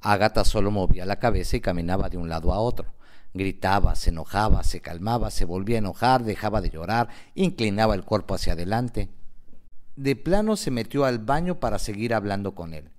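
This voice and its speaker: A man's voice: lowish voice, lively intonation, a little fast. A man narrating a scripted radio novela in a dramatic storytelling style.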